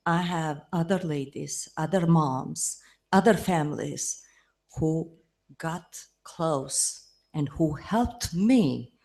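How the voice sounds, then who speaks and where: A woman speaking on a panel, slow and deliberate with a hesitant manner. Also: deep voice, expressive, vocal fry, darkish tone. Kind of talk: panel discussion remarks.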